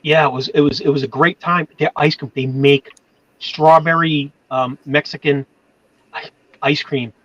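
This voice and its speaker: A man's voice: on the high side, steady tone, a little slow, fairly bright tone, dry recording. A man with a Boston accent, chatting loosely and stumbling over his words. The sound is dry and a bit dull, like a cheap mic close in a small room. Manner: rambling, full of fillers and self-corrections. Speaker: channel moderator. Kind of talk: casual chat on a livestream.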